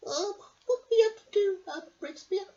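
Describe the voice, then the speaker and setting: A woman's voice: extremely high pitch, lively intonation, moderate pace, mellow, voice pushed up. A woman voicing a cheery, practical, eccentric lady surfer in a storybook reading, with exaggerated sing-song declaiming. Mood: cheery, decisive. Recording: muffled, hissy home recording.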